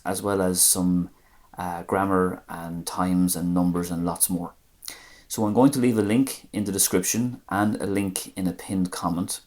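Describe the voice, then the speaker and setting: A man with an Irish accent teaching a language lesson, saying phrases slowly and carefully one at a time. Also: low-pitched, a little mellow. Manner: slow, careful phrase-by-phrase pronunciation.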